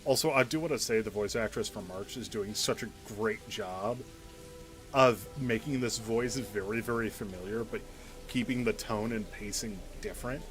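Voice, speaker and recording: A man giving casual, analytical commentary on a game story, pausing as he thinks through his points. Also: lowish voice, fairly bright tone, faint music behind, poor audio.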